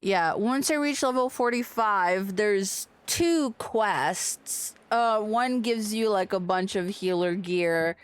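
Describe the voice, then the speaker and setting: A woman's voice: mid-range voice, slow pace, hardly any pauses, clean tone. A woman giving casual, playful commentary on a gaming livestream.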